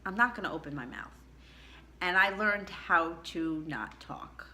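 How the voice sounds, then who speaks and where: A middle-aged woman with a low contralto voice telling a candid personal story in a chatty way, laughing often. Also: animated, a little slow, bright, forceful tone, slight background noise. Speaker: vlogger.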